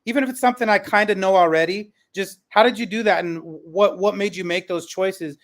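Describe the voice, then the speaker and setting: Male panel host, high-set voice, conversational, encouraging and effusive. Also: a little fast. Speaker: podcast panel host and educator.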